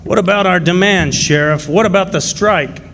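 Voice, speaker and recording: Male actor as defiant union miner, protective father, high voice, radio drama. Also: normal speed, a bit bright, a little hiss or hum.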